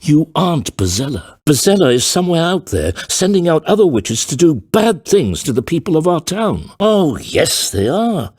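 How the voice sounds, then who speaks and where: An actor playing a grave, theatrical middle-aged father and storyteller in a video game, speaking in a deliberate, formal and grandiose way with a gravelly, husky voice. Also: mid-range voice, a little mellow, dry recording, clean studio sound, exaggerated voice. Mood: earnest fatherly warning. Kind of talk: dramatic video game voice acting.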